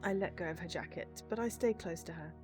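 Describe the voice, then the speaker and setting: A woman with a fairly low voice reads a game's story aloud as she streams it. Faint music plays behind her narration. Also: fairly quick. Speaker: streamer playing a visual novel. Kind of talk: reading game narration and dialogue aloud.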